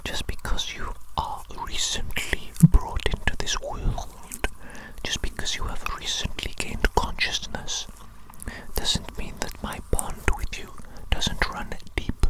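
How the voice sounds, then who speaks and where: A breathy whisper carries this eccentric evil doctor, acted out by an ASMR performer. The delivery is theatrical and formal, yet tender and doting toward a monstrous creation. Phrases come back again and again in a soothing, fussy way. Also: slow pace, natural voice, poor audio. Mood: devoted, grandiose.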